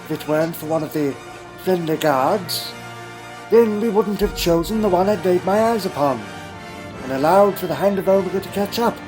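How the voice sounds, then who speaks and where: Guy acting as old alien time traveller, high pitched male voice, grave n secretive, audio drama storytelling, bit of music behind. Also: moderate pace, lightly acted, rough recording. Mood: reflective.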